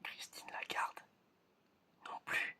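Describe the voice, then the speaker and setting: A breathy whisper with a bright, crisp edge carries this ASMR roleplay. The speaker is chatty and playful, hesitating and repeating little phrases while acting as an examiner running simple tests. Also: slow. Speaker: ASMR creator playing an examiner giving sense tests.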